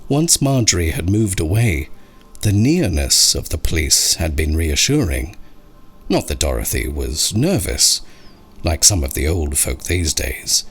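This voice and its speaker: A male narrator reads a horror story in a deep, husky voice. His pacing is unhurried and his intonation is lively and dramatic. Music plays beneath the reading. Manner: slow, expressive, dramatic storytelling. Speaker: narrator of horror short stories. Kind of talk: scripted audiobook narration of a scary story. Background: music under the voice.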